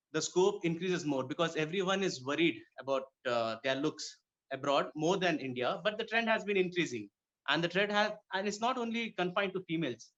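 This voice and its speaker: Higher-pitched man, dull lo-fi recording. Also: fairly bright tone. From Kuwait. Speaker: interview guest, dermatologist. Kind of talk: conversational interview answers. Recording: dull lo-fi audio.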